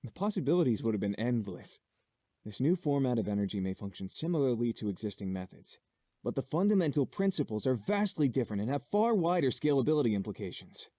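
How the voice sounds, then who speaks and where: An actor playing a middle-aged scientist father in a game, solemnly confessing to his son in measured, remorseful speech. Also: medium pitch, big pitch swings, warm tone, dry recording, clean studio sound. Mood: grave, earnest. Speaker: game character in recorded message. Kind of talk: dramatic visual novel acting.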